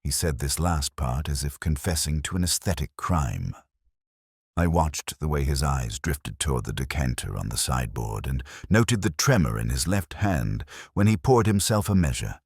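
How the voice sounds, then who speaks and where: A man narrating a scripted first-person detective mystery in a measured, literary, wry manner. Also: rumbling low, lively intonation, breathy edge, no echo, studio quality.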